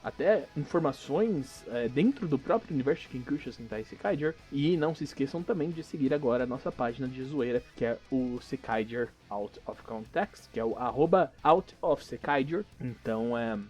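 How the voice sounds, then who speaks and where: A man's voice: medium pitch, animated, normal speed, few pauses, darkish tone, breathy edge, soft background music. A man with a Brazilian accent, casually narrating and hosting a tabletop role-playing podcast as game master.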